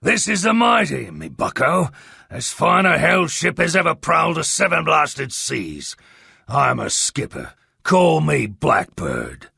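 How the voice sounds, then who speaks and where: Here is a rough pulp-adventure ship's captain, bullying and sarcastic. He pushes his voice up into loud, gravelly sailor talk. The delivery swings widely and sounds close and dry. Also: fairly high pitch, big pitch swings, slow, no echo, pitched above the performer's own voice, heavily acted. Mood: boastful. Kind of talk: pulp radio-drama style audiobook acting.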